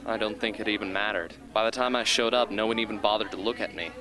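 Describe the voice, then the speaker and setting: A man's voice: on the low side, steady tone, fast talker, crowd noise, natural voice. A man playing a mild, good-natured teenage boy in a radio drama, easygoing and self-deprecating. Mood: resigned. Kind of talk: hobby radio drama acting. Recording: clearly noisy background ambience.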